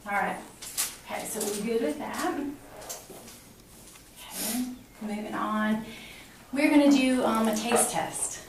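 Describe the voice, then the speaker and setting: A middle-aged woman clinician explaining a physical exam step by step, in an instructional way with hesitant pauses. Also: a little high. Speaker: clinician examining a patient. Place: small hard-walled exam room indoors. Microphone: camera mic a few feet away. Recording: echoey room, distant mic, clear background noise.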